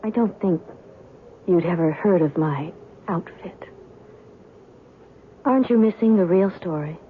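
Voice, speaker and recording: A woman's voice: on the low side, a little slow, natural voice. Woman acting a cool, mysterious stranger, tinny radio sound. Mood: aloof, evasive. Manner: cool, curt, evasive. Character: mysterious, aloof stranger calling herself a reporter, coolly knowing. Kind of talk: old-time radio drama acting. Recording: tinny, band-limited old radio recording, faint noise.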